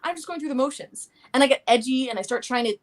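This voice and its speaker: A woman's voice: high-pitched, fast, lightly acted. A woman playing an edgy, anxious daughter in a live play reading, speaking in a hesitant, earnest ramble. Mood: anxious, confessing. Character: anxious, edgy daughter confessing violent urges.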